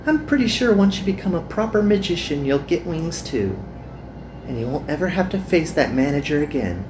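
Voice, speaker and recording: A woman voicing a kind, earnest young magical girl in a visual novel, with gentle and formal phrasing. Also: low voice, big pitch swings, normal speed, noisy background. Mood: hopeful.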